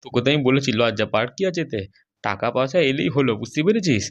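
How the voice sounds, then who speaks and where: An older cartoon father, played by a man, talking quickly at an ordinary pitch. He is reassuring and a little put-upon as his child presses him for money. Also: expressive, highs cut off. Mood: reassuring, hesitant. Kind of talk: amateur comedy cartoon dialogue.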